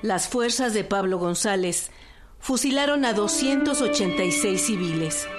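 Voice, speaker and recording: A woman's voice: mid-range voice, animated, moderate pace. A woman with a Mexican accent narrating history from a script in a radio docudrama. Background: music.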